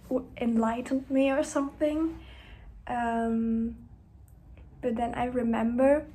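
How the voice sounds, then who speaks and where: Soft, flat-toned young woman vlogger. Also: fairly high pitch. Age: young adult. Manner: soft-spoken and hesitant, often dropping to a whisper. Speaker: university student vlogger. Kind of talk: casual diary-style vlog chat.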